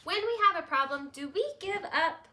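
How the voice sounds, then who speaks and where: A woman's voice: extremely high pitch, animated, moderate pace, pushed and bright, pitched above the performer's own voice, heavily acted. A woman voicing a caring, patient hare schoolteacher in a storybook, encouraging and instructing her class. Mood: caring, earnest.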